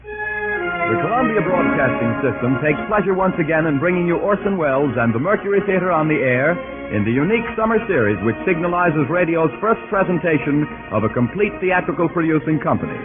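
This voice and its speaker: Male network announcer, highish animated voice, formal, declamatory, 1930s radio, tinny broadcast. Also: fairly quick. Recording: thin 1930s radio broadcast over music.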